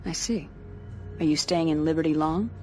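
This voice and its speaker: An actress playing a businesslike, no-nonsense security officer in a video game, with a brisk, professional manner. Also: female voice, deep voice, darkish tone, faint music behind, natural voice. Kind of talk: video game cutscene acting.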